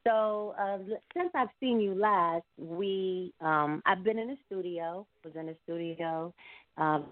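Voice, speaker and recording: Low-voiced woman on a phone line. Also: takes it slowly, no echo. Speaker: singer, interview guest. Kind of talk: conversational interview answers. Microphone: phone call. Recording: narrow-band, phone-like line.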